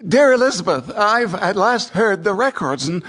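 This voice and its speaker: A literary man of letters in a radio play. His voice sits high and moves animatedly up and down. He is chatty, wry and admiring as he reads to a friend. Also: big pitch swings, moderate pace, a bit bright, full character voice. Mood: amused, chatty. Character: witty, admiring male American poet writing to a friend. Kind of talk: radio theatre, reading letters aloud.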